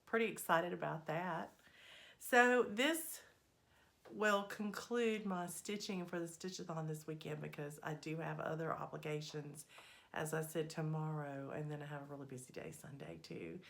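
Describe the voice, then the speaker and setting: A woman's voice: deep voice, gravelly, fairly bright tone. Slightly scratchy woman host. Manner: unhurried, friendly, lots of ums. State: slightly scratchy voice, recovering from a cold. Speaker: needlework vlog host. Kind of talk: casual chatty progress update.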